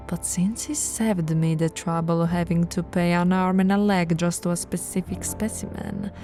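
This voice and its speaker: A woman voicing a possessive, seductive vampire royal in an ASMR roleplay, teasing and often slipping into breathy whispers. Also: lowish voice, fairly even intonation, soft background music, natural voice. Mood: haughty, intrigued. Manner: slow, teasing, breathy whispering with soft laughs.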